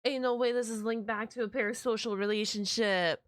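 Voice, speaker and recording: A woman's voice: a little high, unhurried, no echo, clean studio sound. A woman giving a casual live reaction commentary, exclamatory and slangy, repeating words as she addresses her chat. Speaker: reaction video host.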